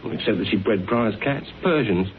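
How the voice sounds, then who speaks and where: A man's voice: lowish voice, animated, brisk, natural voice. A man acting a chirpy young British detective's assistant in a radio drama, breezy, slangy and matter-of-fact. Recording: thin narrowband radio recording, faint noise.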